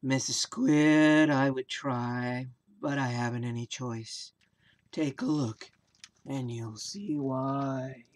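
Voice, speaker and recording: Here is a sulky male storybook fish as played by a woman. The voice sits low and drags each word out slowly, mopey and resigned to gloom. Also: fairly even intonation, darkish tone. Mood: glum, resigned. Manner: slow, drawn-out, mopey delivery.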